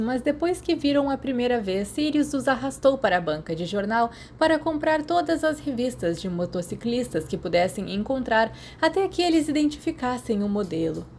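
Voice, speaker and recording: A woman's voice: mid-range voice, darkish tone, a little hiss or hum. A Brazilian woman reading a story aloud as a scripted audiobook narration. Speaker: podfic narrator.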